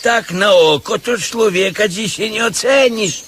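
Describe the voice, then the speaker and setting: An actor playing a wily, bossy blind beggar with a folksy, declamatory way of talking, in a radio comedy drama. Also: male voice, sits high, measured pace, fairly bright tone, a little hiss or hum. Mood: sly, sceptical.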